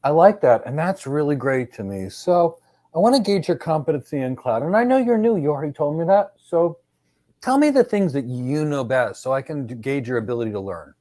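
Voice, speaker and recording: A man's voice: on the high side, sing-song, fairly quick, mellow, dry recording. A man conducting a mock job interview, asking questions in an upbeat, encouraging way.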